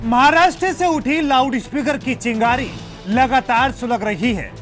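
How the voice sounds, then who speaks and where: A man narrates a news report in a very high-pitched voice. His delivery is scripted reporting, with clear music playing behind him. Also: normal speed. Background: news background music.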